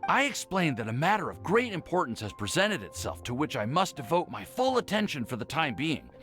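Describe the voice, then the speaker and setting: A man's voice: on the high side, sing-song, a little fast, a bit bright, faint music behind. An actor playing an earnest, lovestruck young man full of self-doubt in a video game, speaking formally and hesitantly. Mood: earnest, flustered.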